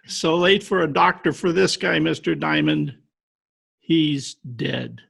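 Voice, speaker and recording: A man playing a detective's loyal, plain-spoken sidekick in an old-time radio drama, with a gravelly, husky voice. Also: on the high side, takes it slowly, a bit bright, exaggerated voice. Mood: grim. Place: home, remote video call recording.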